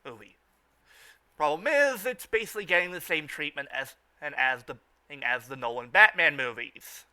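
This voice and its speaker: Male fan-show host: higher-pitched, sharp bright tone, stumbling repetitive delivery, informal recap style. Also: lively intonation, clear, resonant tone. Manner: halting, repeats and restarts phrases.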